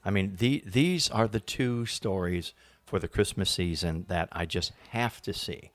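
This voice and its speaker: A man, a stage actor being interviewed, speaking casually in an earnest, thoughtful, halting way. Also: deep voice, dry recording.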